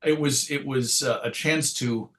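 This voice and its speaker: A man's voice: medium pitch, fairly even intonation, measured pace, fairly bright tone. A middle-aged man giving a casual interview, telling anecdotes in a chatty, wry storytelling way. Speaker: interview guest, comics writer and editor.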